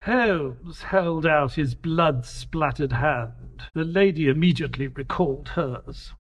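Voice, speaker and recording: A man's voice: a little high, slow pace, breathy edge. A man playing a wry, proper and exasperated detective's companion, acting and narrating in an audio drama. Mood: measured narrating. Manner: polite, wry, precise.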